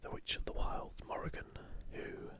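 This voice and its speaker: Male voice, constant breathy whisper, unvoiced, ASMR commentary, video host. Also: normal speed.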